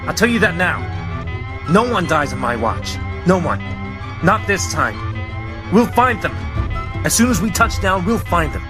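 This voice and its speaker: A man acting a brash, defiant young robot captain in an animated sci-fi story, with a commanding, emphatic delivery. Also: high-pitched, measured pace, long pauses, music in the background. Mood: fiercely determined.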